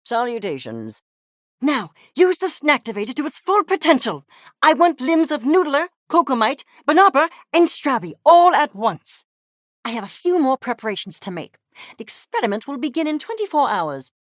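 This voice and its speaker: A woman's voice: fairly high pitch, wildly swooping pitch, fairly quick, no room sound, clean studio sound. A woman voicing a haughty, eccentric furry creature scientist in a video game, speaking in a verbose, condescending and theatrical way with precise articulation. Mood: commanding, eager. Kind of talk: video game character acting.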